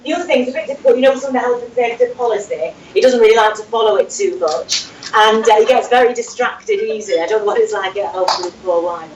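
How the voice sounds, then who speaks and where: A woman playing a mild, hesitant safety assistant who fills pauses often. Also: on the high side, natural voice. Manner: hesitant, many fillers, mild. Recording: roomy distant room with faint noise.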